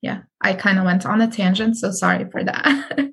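A woman's voice: medium pitch, steady tone, moderate pace, vocal fry, fairly bright tone, slightly rough. A woman from Morocco, a guest in a casual chat, talking at length with ums and laughs. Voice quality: chatty, rambling with ums and laughs.

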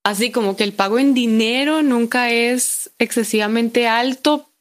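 A woman's voice: fairly high pitch, steady tone, moderate pace. A young woman with a Costa Rican Spanish accent, an interview guest talking in a chatty, enthusiastic way, full of fillers and tag questions. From Costa Rica. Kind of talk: conversational interview.

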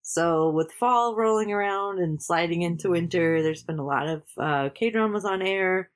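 A woman co-hosting a laid-back podcast, her voice slightly low and a bit congested. She chats in an easygoing, relaxed way. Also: normal speed. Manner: relaxed, chatty, laughs easily. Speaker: podcast co-host. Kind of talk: casual chat.

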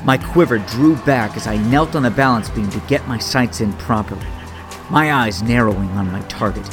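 A man playing a wry, lovestruck 38-year-old vigilante hero who narrates his story in a superhero audio drama, with a husky, self-deprecating delivery. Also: mid-range voice, normal speed, music in the background, close to an everyday voice. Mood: wry, steady.

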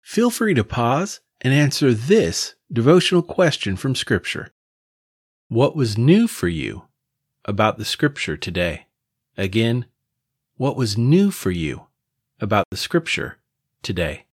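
A pastor with an Indiana accent giving a devotional address and blessing, speaking expressively. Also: male voice, mid-range voice, big pitch swings, measured pace, husky.

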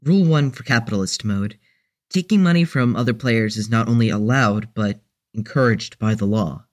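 A man playing a mild-mannered, unsure young newcomer with a halting delivery in an audio drama. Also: mid-range voice, lightly acted.

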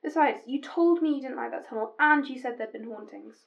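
A woman's voice: sits high, brisk, dull audio. A woman playing a chatty, sceptical railway worker in an amateur audio drama. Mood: shaken, insistent. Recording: clear background noise and ambience.

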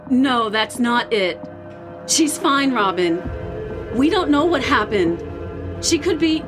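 A woman acting as a distraught young woman in a horror audio drama. Her very high voice pleads in panicked denial, swinging widely in pitch, with music behind her. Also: heavily acted. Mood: anxious, insistent. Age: young adult.

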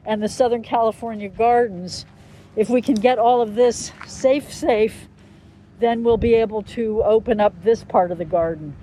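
A woman's voice: fairly high pitch, moderate pace, warm tone, rough recording. Female garden staffer, unscripted interview delivery, recorded outside with light ambient noise. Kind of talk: conversational interview. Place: outdoors in a garden. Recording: faint outdoor ambient noise.

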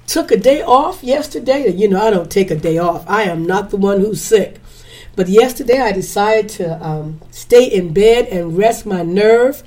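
A woman's voice: medium pitch, expressive, a little slow, darkish tone. Woman host, stuffy sinus voice, chatty, preacherly repetition. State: congested, slightly hoarse. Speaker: podcast host. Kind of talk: casual podcast intro.

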